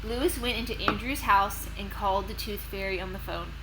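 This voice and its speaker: A woman narrating for children as an expressive read-aloud storyteller and host. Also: medium pitch, normal speed, very noisy. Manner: expressive, clearly addressed to children.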